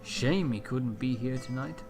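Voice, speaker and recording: A man's voice: mid-range voice, unhurried, natural voice. A man playing a suave, self-important wealthy businessman with a patronizing manner in an audio drama. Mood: suave, condescending. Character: smooth, self-important wealthy businessman at his party, condescending. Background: music with plucked guitar.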